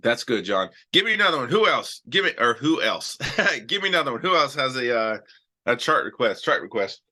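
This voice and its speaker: A man's voice: mid-range voice, big pitch swings, fairly quick, sharp tone. A man hosting a live stock trading show, talking loosely and chattily through charts while he has a cold. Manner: loose and chatty, many restarts and "all right"s. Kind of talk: casual live-stream chart talk.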